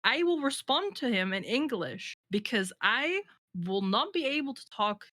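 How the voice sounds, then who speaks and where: A woman's voice: a little high, a little slow, no echo, clean studio sound. Giggly woman chatting on a podcast. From Netherlands. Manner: animated, laughs often, restarts a lot. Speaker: podcast co-host. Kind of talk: casual podcast chat. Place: living in England for ten years.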